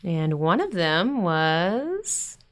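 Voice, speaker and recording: A woman playing a warm, gently teasing middle-aged mother in a naturalistic audio drama, giving earnest advice. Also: on the low side, big pitch swings, very slow, exaggerated voice. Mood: earnest, reassuring.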